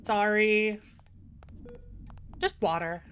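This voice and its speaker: A woman's voice: medium pitch, fairly even intonation, very slow, a bit bright, clean tone. A woman giving casual, sweary, reacting commentary as she streams a video game. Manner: informal, sweary. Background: game music.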